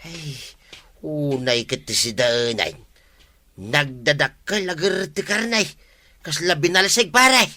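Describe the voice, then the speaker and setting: A man's voice: on the high side, slow pace, pushed and bright, heavily acted. An actor with an Ilocano accent playing an older village man with rheumatism in a radio comedy, talking in a plain, mild way. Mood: amused. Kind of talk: 1950s-style radio comedy acting.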